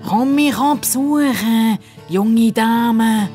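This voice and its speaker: Woman putting on a slow, wistful crocodile gentleman. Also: a little high, faint music behind, voice pushed up. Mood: warm farewell. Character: courtly, wistful male crocodile with wanderlust. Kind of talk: children's audio story acting.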